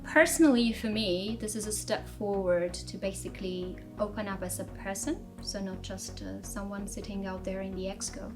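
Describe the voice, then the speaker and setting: A woman in her thirties from Armenia, telling her life story in a measured, reflective way in an interview, with frequent fillers and restarts. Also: mid-range voice, steady tone, music in the background. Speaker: interviewee, strategy director. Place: office.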